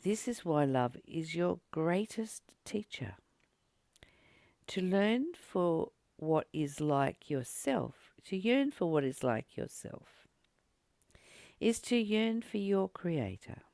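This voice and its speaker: Low-voiced woman, gravelly and slow. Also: very animated, a little mellow, no room sound, clean studio sound. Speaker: spiritual teacher and channel host. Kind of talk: spiritual book study, reading aloud with reflective commentary.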